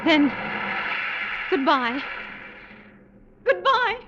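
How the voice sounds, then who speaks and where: An actress playing a sheltered, fearful, wistful young woman in a vintage radio drama, earnest and halting in delivery. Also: high voice, sing-song, heavily acted. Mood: frightened. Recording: muffled, noisy old radio recording.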